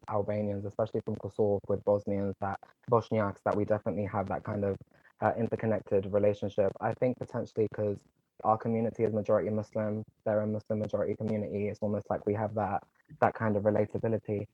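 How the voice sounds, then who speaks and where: A man's voice: fairly low pitch, level tone, warm tone, no room sound. A young man, a guest on an interview, talking earnestly and casually with many fillers. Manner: earnest, talks in quick runs with many "like" fillers. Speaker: guest, online content creator. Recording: slightly dull, muffled sound.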